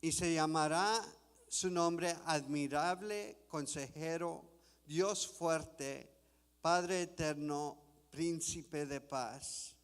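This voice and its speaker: A man preaching a sermon in a church, reading scripture slowly and deliberately. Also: on the high side, clear, resonant tone, long reverb tail. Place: church sanctuary.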